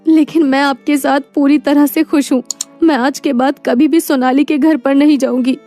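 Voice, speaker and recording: Woman acting an earnest, high-voiced poor wife. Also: steady tone, moderate pace, dark tone, dry recording, soft background music, lightly acted. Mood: resolute. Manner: earnest, emotional. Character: poor, proud, devoted young wife. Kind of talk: animated moral story dialogue.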